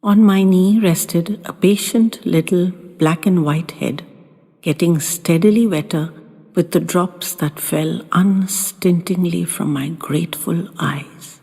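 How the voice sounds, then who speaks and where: A woman reading sentimental short stories as a storyteller, in a soft, expressive, dramatic voice with lingering pauses. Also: lowish voice, slightly rough. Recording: long hall reverb, music behind.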